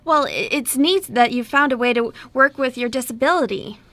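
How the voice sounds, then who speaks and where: Woman as young sister, forceful bright tone, fairly high, eager, talkative, friendly, amateur radio drama. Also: moderate pace, no room sound. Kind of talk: amateur radio play acting.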